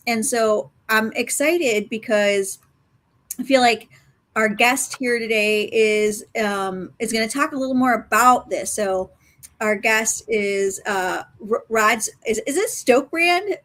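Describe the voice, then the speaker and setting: A woman's voice: fairly high pitch, slow pace, a bit bright. A woman hosting an interview, introducing her guest in a chatty way with many fillers and restarts. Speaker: host.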